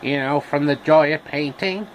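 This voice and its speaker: A man voicing a campy, fussy talking scarecrow with a droll, drawn-out delivery. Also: on the high side, slight background noise, natural voice. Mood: wry, fussy.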